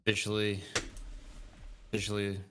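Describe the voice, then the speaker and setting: A man's voice: on the low side, monotone, moderate pace, music in the background. A man in his thirties chatting casually on a livestream, rambling and laughing easily. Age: 30s. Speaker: co-host.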